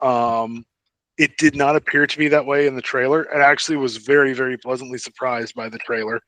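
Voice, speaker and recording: A man co-hosting a podcast in casual fan chat, thinking aloud in a halting way. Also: medium pitch, steady tone, pushed and bright, no room sound, low-quality recording. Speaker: podcast co-host.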